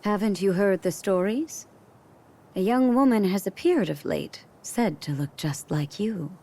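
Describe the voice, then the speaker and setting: An actress playing a gentle, wise queen and mentor in a video game, speaking with calm, encouraging poise. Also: mid-range voice, a little slow. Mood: thoughtful. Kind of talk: video game cutscene acting.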